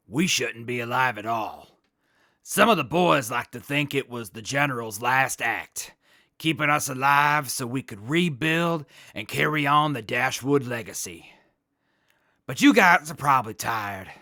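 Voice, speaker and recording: Guy as a wasteland survivor, plainspoken and hospitable, punchy bright voice, dry close sound, audio drama. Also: mid-range voice, no room sound. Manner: casual, plainspoken. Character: hospitable male soldier survivor of a wasteland band.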